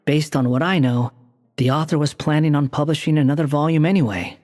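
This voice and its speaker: A woman's voice: sits low, a little fast, a little mellow, natural voice. A woman playing a sly, self-assured game character who explains things in a dry, teasing way. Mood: wry. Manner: dry, teasing, matter-of-fact. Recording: game dialogue with long reverb and music.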